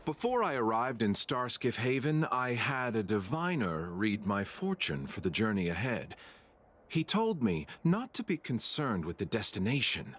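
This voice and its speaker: A man voicing a philosophical traveling merchant in a video game, with a husky voice and musing delivery. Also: medium pitch, sing-song, moderate pace, fairly bright tone.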